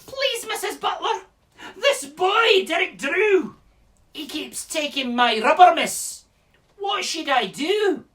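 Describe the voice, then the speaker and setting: Whiny Scottish school kid, very high-pitched, pleading and tattling in drawn-out complaints. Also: lively intonation, fairly bright tone, recorded from a distance, heavily acted. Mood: indignant, pleading.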